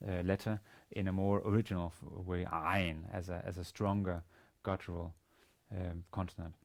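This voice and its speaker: A man in his forties, a native Danish speaker with a Danish accent, giving an instructional lecture in a halting, hesitant manner with repeated words. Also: low voice, level tone, gravelly. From Denmark. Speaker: university language teacher. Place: indoor studio with plain grey backdrop.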